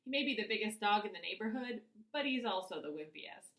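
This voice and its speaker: Woman narrator, children's book read-aloud, expressive first-person storytelling, bright tone, echoey room. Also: mid-range voice, brisk. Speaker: author reading aloud.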